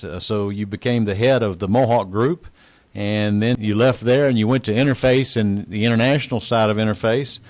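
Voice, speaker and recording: A middle-aged man hosting a trade podcast, asking questions in a brisk, conversational way. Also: on the low side, darkish tone, dry recording. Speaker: interview host.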